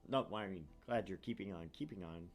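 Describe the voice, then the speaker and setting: A man's voice: low-pitched, animated, brisk. A man hosting a stream, playful and chatty, moving between casual talk and narrating a visual novel. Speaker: streamer, co-host.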